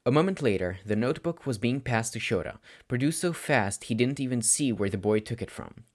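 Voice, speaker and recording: A man's voice: mid-range voice, animated, brisk, a little mellow. A man reading a scripted story as an audiobook narrator. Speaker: podfic narrator.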